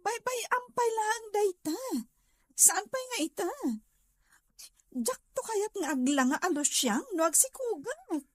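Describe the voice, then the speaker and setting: A woman's voice: very high voice, expressive, a little slow, pauses often, no room sound, studio quality. A woman playing a stubborn, self-centred wife in an audio drama, insistent and dismissive, with an Ilocano accent.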